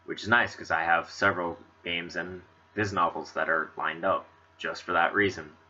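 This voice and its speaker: Stuffy-nosed low-voiced man gaming. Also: fairly even intonation, normal speed, bright, forceful tone, husky, muffled recording. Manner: rambling, chatty, many fillers. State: stuffy, congested nose. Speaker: let's play host. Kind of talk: casual gameplay commentary.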